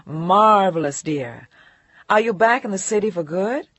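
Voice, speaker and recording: A woman voicing a smooth, urbane male magazine editor in his late thirties who talks in breezy, clipped phrases. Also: mid-range voice, expressive, measured pace, fairly bright tone, no echo, pitched above the performer's own voice. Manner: breezy, clipped, calls everyone dear.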